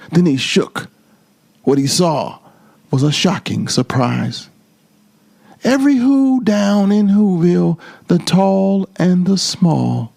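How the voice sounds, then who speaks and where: A man reading a storybook aloud as storyteller, in an expressive, theatrical and deliberate style. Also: mid-range voice, creaky, dry recording.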